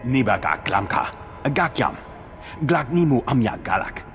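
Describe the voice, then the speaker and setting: A man playing an alien scientist in a video game, with a gravelly, creaky voice. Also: medium pitch, very animated, moderate pace, fairly bright tone. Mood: animated. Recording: reverberant hall with music behind.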